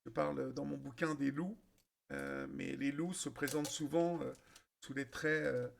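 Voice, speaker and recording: A man hosting a radio interview, asking gentle, halting questions full of fillers. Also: medium pitch, creaky, a little hiss or hum. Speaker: radio host. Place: radio studio.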